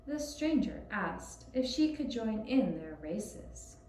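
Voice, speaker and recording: A woman telling a scripted story for young children in a gentle, deliberate manner. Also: fairly high pitch, sing-song. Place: echoey room, puppet show performance. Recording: roomy echoing sound with faint hiss.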